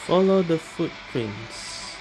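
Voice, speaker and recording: A woman's voice: deep voice, expressive, slow pace, poor audio. A woman hosting a gaming video, giving casual let's play commentary. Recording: loud game music behind voice. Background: game music and sounds.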